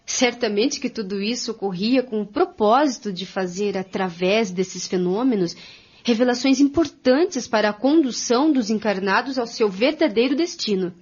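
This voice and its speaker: A woman with a Brazilian accent playing an earnest, formal spiritist commentator in a scripted radio drama, with a husky voice. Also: on the high side, lively intonation, normal speed, darkish tone. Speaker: radio drama narrator and discussant. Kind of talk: scripted radio drama narration in dialogue form. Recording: dull, lo-fi audio.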